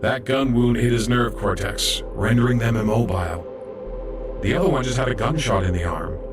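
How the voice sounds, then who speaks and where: A man's voice: medium pitch, normal speed, over music, lightly acted. A man playing an earnest young robot trainee medic who narrates his story in an audio drama. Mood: earnest, reflective. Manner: earnest, explanatory.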